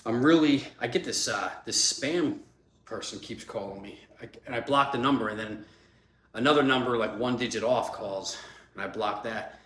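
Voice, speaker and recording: A man's voice: medium pitch, moderate pace, fairly bright tone. A man in his forties, a fitness vlogger, talking in a loose, chatty way with many fillers, congested from a cold. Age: 40s. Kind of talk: casual rambling vlog talk. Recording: echoey room, faint background hum.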